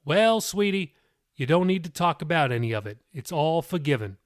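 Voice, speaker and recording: A man's voice: a little high, very animated, moderate pace, no room sound, pitched above the performer's own voice. Man playing a middle-aged dad, genial, folksy, jokey, audiobook dialogue, dry close sound. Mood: tender. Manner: folksy, sheepish humor.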